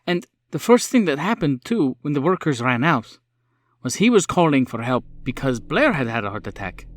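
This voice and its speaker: A man voicing a troubled, guarded academic woman in a tabletop game, speaking in an earnest, measured way. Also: mid-range voice, very animated, dry recording, natural voice. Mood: troubled, puzzled. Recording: music behind the voice.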